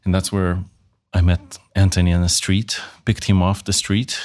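A company CEO, born in Russia, talks about his career on a podcast. His voice is extremely low. He answers in short, plain sentences. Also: steady tone, measured pace, darkish tone. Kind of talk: casual interview answers.

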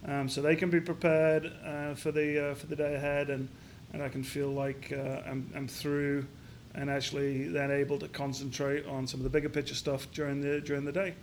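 A man in his fifties from Yorkshire, answering interview questions reflectively with frequent pauses, fillers and restarts. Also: fairly high pitch, monotone, a little hiss or hum. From Yorkshire, England. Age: 50s. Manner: hesitant, many restarts and fillers. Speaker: business founder guest.

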